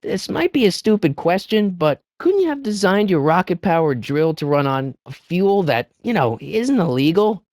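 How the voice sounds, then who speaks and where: A man's voice: sits high, very animated, darkish tone, dry recording, clean studio sound. A young man with an American accent voicing an earnest, anxious teenage boy in a video game, eager to persuade and often hesitant. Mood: curious, casual. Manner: hesitant, stammering, eager, fast-talking persuader. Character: earnest, anxious teenage boy hero of a time-travel adventure. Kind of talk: video game character dialogue.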